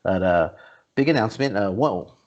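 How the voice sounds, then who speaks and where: Low-voiced man hosting, slightly muffled. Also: expressive, moderate pace. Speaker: host of a movie review podcast. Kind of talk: casual panel discussion.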